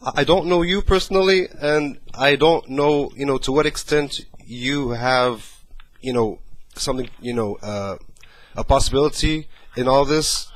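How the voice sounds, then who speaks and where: A man's voice: medium pitch, measured pace, hardly any pauses, dry recording. A man, talking earnestly with many fillers from a small bedroom, then pleading on a phone call. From Morocco. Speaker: activist caller.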